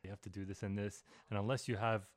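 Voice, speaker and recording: A man's voice: lowish voice, level tone, fast, dry recording, studio quality. A young man hosting a casual podcast interview, asking long reflective questions. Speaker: podcast host.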